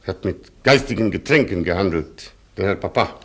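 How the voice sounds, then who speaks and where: An actor in a German radio play playing a haughty, stubborn cavalry colonel with a husky voice and a pompous, clipped, condescending delivery. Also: male voice, deep voice, expressive, normal speed. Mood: haughty, disdainful.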